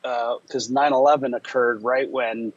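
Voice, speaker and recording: A man co-hosting a podcast who grew up speaking Estonian, telling stories in a halting, casual way with many restarts. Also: medium pitch, fairly even intonation, no room sound, muffled recording. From United States. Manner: halting, restarts often, storytelling. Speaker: podcast co-host. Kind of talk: casual chat.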